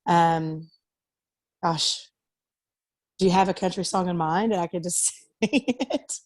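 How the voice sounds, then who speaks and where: A woman from Arkansas, a country singer-songwriter, chatting casually in an interview with a tired, raspy voice and lots of fillers. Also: lowish voice, slow, no room sound. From Arkansas, USA. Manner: chatty, laughs easily, many fillers. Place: sitting in bed at home.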